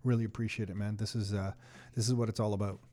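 A man's voice: lowish voice, flat delivery, fast, dark tone. A man hosting a casual podcast, friendly and jokey as he thanks his guest. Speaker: podcast host.

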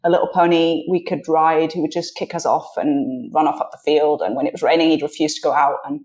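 A woman from Switzerland with a posh British accent, chatting casually as a podcast guest and often pausing to think mid-sentence. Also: deep voice, steady tone, a little mellow. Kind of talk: casual interview chat. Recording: roomy echo on the voice.

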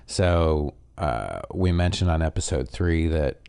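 Deep-voiced relaxed Canadian podcast host. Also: male voice, slow, creaky, clear, resonant tone. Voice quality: nasally. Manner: relaxed, rambling with fillers. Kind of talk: casual chat.

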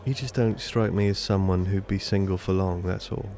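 Low, gravelly, awkward British man. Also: steady tone, moderate pace, dark tone, soft background music. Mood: wry, curious. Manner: hesitant, self-deprecating joking. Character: awkward, nervous, self-deprecating man on a first date. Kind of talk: naturalistic audio drama dialogue.

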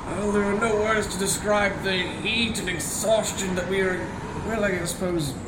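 A high-pitched, earnest and bumbling gentleman adventurer acted by a man in a comic radio play. He speaks in a halting, mock-formal way, in an echoey room with noise behind him. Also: natural voice. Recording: echoey room with noisy background.

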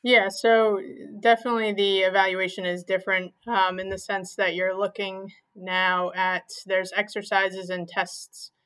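A woman's voice: mid-range voice, flat delivery, unhurried, few pauses, a bit bright, clear, resonant tone, rough recording. A young woman from Maine, a physical therapist, answering interview questions plainly with frequent "um"s. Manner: plain, many "um"s, simplifies explanations.

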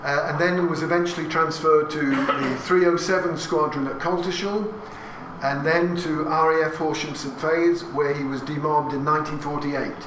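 A man in his sixties with an English accent talks to a live audience, his voice sitting a little high for a man. The sound is roomy and recorded from some distance, with audience noise behind him. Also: steady tone, moderate pace. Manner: chatty and anecdotal, with asides and questions to the audience. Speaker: speaker giving a talk. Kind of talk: talk to a live audience about family history. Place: hall with an audience.

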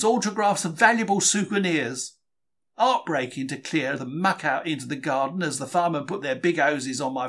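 A gutsy working-class Cockney bookseller played by a man confides his wartime diary in a slightly raised pitch. The delivery is dramatised, colloquial and expressive, full of open emotion. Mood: distressed. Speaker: reader.

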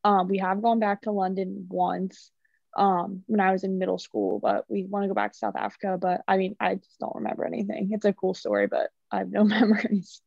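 Young woman with a gravelly, rambling voice. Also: mid-range voice, brisk, dry recording, dull audio. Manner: relaxed, rambling, many likes. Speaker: student guest. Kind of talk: casual podcast chat.

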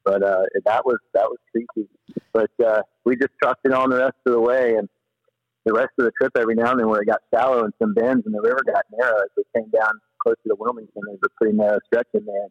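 A man telling stories casually as a podcast guest, in an animated, slangy, rambling way. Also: fairly low pitch, fast talker, no room sound, lo-fi sound. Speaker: podcast guest, paddler and former river guide. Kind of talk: casual storytelling in an interview.

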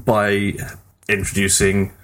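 A man's voice: fairly low pitch, completely flat delivery, slow pace, a bit bright, slight background noise. A man chatting casually as a podcast host, with a wry, pedantic way of putting things. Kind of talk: casual gaming podcast chat.

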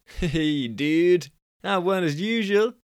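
A man's voice: high voice, lively intonation, slow pace, clean tone, dry recording, studio quality, voice pushed up. A man voicing a brash young American snowboarding champion with a put-on Californian accent and a boastful surfer-dude drawl. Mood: cocky.

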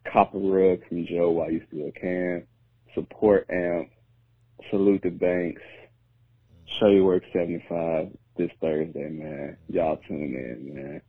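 Hoarse guy leaving a voicemail, husky and dry, profane trash talk. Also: sits low, steady tone, takes it slowly, no echo. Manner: gloating, profane trash talk like a wrestling promo. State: hoarse, lost voice. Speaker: voicemail caller. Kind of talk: voicemail rant. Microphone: phone. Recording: tinny phone voicemail.

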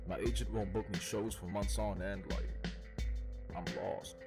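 A man speaking in a halting, unsure way with many fillers, as spoken word. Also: lowish voice, fairly even intonation. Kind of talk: spoken word over a beat. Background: music.

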